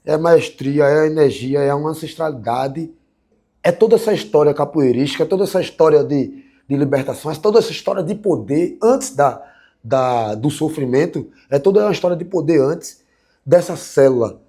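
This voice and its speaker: A man speaks as a capoeira teacher in an interview. His talk is reflective and halting, with phrases repeated and stacked into lists as he works out his thought. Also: a little high, mellow.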